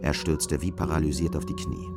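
Very deep, creaky male narrator. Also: fairly even intonation, brisk, over loud music. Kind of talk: scripted literary narration in radio drama.

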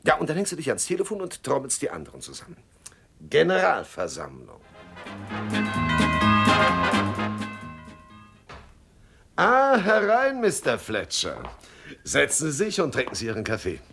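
An actor playing a suave, jovial gang leader in a radio crime comedy, genteel and wordy, with chuckles and mock politeness. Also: male voice, a little high, wildly swooping pitch, fairly quick, vocal fry, over music, exaggerated voice. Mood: jovial, brisk. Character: jovial, suave male crime boss running a front agency. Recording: faint noise on 1970s radio production.

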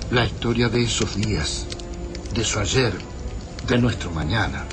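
An actor narrating a radio drama as a somber, reflective storyteller. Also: male voice, mid-range voice. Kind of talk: scripted literary radio narration. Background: music.

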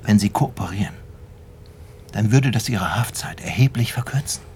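A man's voice: on the low side, a little mellow, slight background noise, lightly acted. An actor playing a smug, authoritative police major who needles and mocks as he interrogates in a radio drama. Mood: taunting, stern. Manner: mocking, deliberate, needling. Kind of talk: naturalistic radio drama acting.

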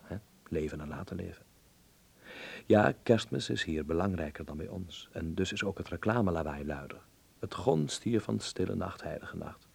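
An actor playing an earnest, dreamy young Dutch filmmaker in a radio drama, speaking politely and precisely with a husky, creaky voice. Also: male voice, low voice, darkish tone, heavily acted. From Netherlands. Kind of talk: radio drama acting with letter narration.

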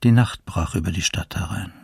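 An actor playing an elderly eyewitness, plainly recollecting wartime horror as interview testimony in a documentary radio drama. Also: male voice, sits low, normal speed, creaky, breathy edge, no echo, clean studio sound, full character voice. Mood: troubled recollection. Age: older.

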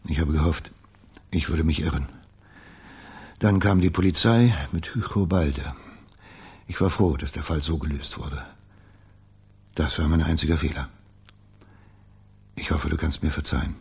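Defensive boss in a crime radio play, really low raspy husky voice, measured. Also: male voice, a little mellow, heavily acted. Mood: contrite, measured. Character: evasive, self-justifying male official turned agency boss. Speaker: actor in a radio crime drama. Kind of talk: German radio play acting.

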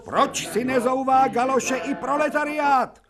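An actor playing an imperious, irascible older professor, declaiming sarcastic rhetorical questions in a theatrical radio drama. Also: male voice, very high-pitched. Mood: indignant. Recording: music faintly behind the voice.

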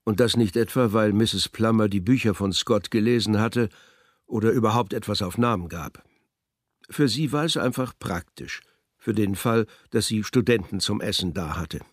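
A man's voice: on the low side, normal speed, dry recording, studio quality, lightly acted. A male actor narrating a literary radio drama as a wry, scripted storyteller. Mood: wry, measured.

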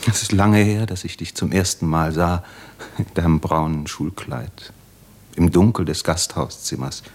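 Deep, husky poetic lover, radio drama. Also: male voice, a little slow. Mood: reverent, wistful. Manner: hushed, reverent, poetic. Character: tender, solemn poetic man, devoted secret lover. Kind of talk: literary German radio play acting.

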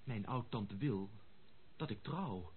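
An actor playing an excitable, effusive young gentleman heir in a radio drama, exclaiming and stammering when agitated. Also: on the low side, slow, breathy edge, exaggerated voice. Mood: agitated, troubled. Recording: muffled lo-fi radio play recording.

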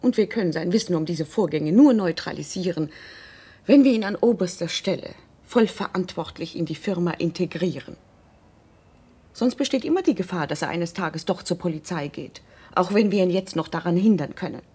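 A woman's voice: fairly low pitch, expressive, normal speed, mellow, breathy edge. An actress in a German radio drama playing a cool, calculating middle-aged wife and mother, controlled and pointed in delivery. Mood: stern, reasoning.

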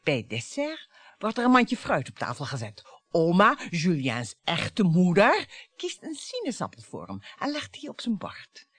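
An actress in a radio play playing a bitter, provocative wife who dwells on words theatrically. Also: female voice, fairly low pitch, huge pitch swings, unhurried, husky, dry recording, heavily acted. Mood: brooding. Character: bitter, taunting wife dredging up old family grievances.

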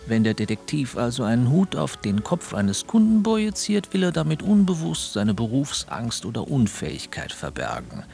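Solemn male pedant, gravelly, swinging intonation, mock-scholarly radio drama. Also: mid-range voice, huge pitch swings, moderate pace, hardly any pauses, darkish tone. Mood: solemn, pedantic. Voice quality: creaky.

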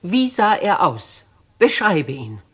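An actress playing a dignified, compassionate and resolute old noblewoman, with grave, measured, stately delivery in a 1950s radio play. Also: fairly low pitch, big pitch swings, slightly rough, full character voice.

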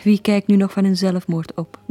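An actress with a Flemish accent playing a village gossip relishing a scandal in a radio play. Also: female voice, medium pitch, steady tone, moderate pace, mellow, faint music behind, close to an everyday voice. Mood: regretful gossip. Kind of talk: 1980s radio play acting.

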